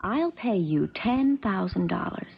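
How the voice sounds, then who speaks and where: A woman's voice: medium pitch, expressive, measured pace, vocal fry. An actress playing a cold, spoiled rich young woman in a 1970s radio drama, controlled, calculating and commanding. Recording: dull, lo-fi 1970s radio recording.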